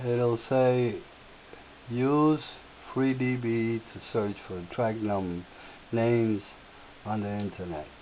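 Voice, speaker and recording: A man with a slightly raspy voice, hosting a software tutorial and explaining each step in an unhurried way with filler words. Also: medium pitch, fairly even intonation. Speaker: tutorial host. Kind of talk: screen-share software tutorial. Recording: tinny lo-fi audio with faint hiss.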